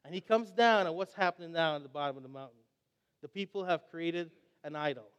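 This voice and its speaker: A man's voice: fairly high pitch, fairly quick, smooth, long reverb tail. A young adult man from Trinidad and Tobago preaching a sermon, earnest and reflective as he tells stories.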